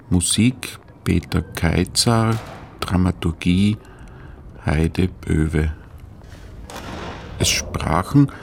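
Announcer, male: low, gravelly, sober delivery of scripted credits, extremely unhurried, faint noise behind. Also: a little mellow.

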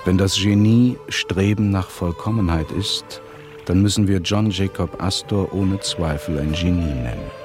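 A man narrating a radio play in formal, measured phrases. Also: low-pitched, music in the background.

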